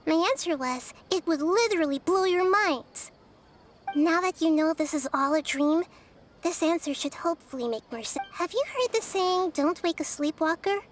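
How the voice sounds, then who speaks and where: A woman voicing a small, girlish young goddess who explains things gently and patiently in a video game. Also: very high-pitched, lively intonation, a little hiss or hum. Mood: gentle, explanatory. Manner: measured, explanatory. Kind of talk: video game character acting.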